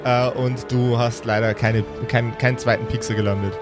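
A man's voice: mid-range voice, steady tone, moderate pace, music in the background. A man acting as game master, narrating an improvised tabletop game casually, with some English gaming slang.

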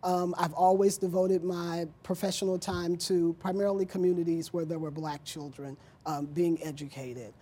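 A middle-aged woman, an educator, explaining her work in a measured, earnest way as an interview guest. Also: on the low side, steady tone, dark tone, breathy edge.

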